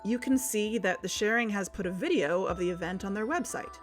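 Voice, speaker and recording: A determined podcast investigator played by a woman with a mid-pitched voice and light music behind. She lays out suspicions in an assertive, scripted documentary style for an audio drama. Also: brisk, lightly acted. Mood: explanatory, assertive.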